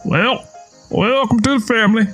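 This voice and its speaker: Man doing a choked, gruff old farmer. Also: extremely high pitch, steady tone, normal speed, a bit bright, soft background music, voice pushed up. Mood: gruff, matter-of-fact. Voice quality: strained, choked. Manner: blunt, folksy. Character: gruff old backwoods Southern father-in-law, hard-drinking tenant farmer.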